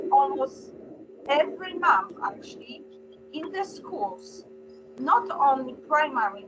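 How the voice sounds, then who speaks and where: A high-voiced woman with a Polish accent gives an informal panel talk at a slow pace. She sounds earnest and hesitant, over a dull recording with a clear hum. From Poland. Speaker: panel speaker. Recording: dull lo-fi sound, humming noise.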